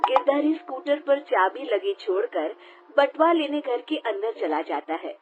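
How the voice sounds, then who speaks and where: A woman's voice: fairly high pitch, animated, measured pace, over music. A woman narrating a scripted cartoon story as a storyteller. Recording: dull lo-fi, noisy background.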